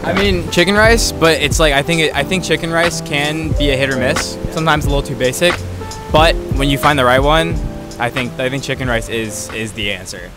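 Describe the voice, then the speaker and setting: A man's voice: fairly high pitch, a little fast. A young man from the US, an exchange student, chatting informally and a bit hesitantly in an interview. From United States. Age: young adult. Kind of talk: casual chat. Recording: loud music over the voice. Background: loud background music.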